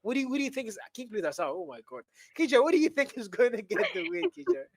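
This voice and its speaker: A young man casting an esports match, hoarse from losing his voice, joking in rapid-fire banter. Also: very high-pitched, very animated. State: hoarse, has lost his voice. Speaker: esports caster. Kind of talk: live esports commentary chat.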